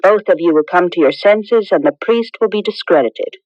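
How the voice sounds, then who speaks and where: An actress playing a confident, outspoken young bride-to-be in a 1980s radio drama, brisk and skeptical. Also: female voice, fairly low pitch, dry recording, lightly acted. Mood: challenging. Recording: muffled old radio broadcast.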